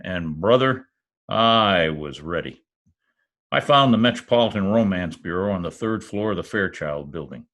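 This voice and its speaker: Male actor as radio detective, low voice, very animated intonation, wisecracking, dry, old-time drama. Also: moderate pace. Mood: wry, narrating. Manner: deadpan, dry quips. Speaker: actor in an amateur radio-play reenactment. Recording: remote home recording over video call.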